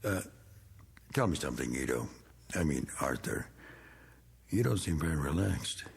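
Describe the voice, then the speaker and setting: An actor playing a needling, bitter middle-aged American war veteran with folksy, slangy talk in a vintage TV drama. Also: male voice, very deep voice, lively intonation, moderate pace, heavily acted. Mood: menacing. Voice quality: gravelly. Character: needling, bitter male war veteran, rough working man. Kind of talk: 1960s television drama acting.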